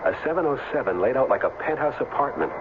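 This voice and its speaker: A man's voice: medium pitch, animated, quick pace, very noisy, lightly acted. A man acting a wry, curious ex-football player who narrates and talks in a casual way in a 1970s radio drama. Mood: reflective, wry. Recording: tinny vintage radio over music.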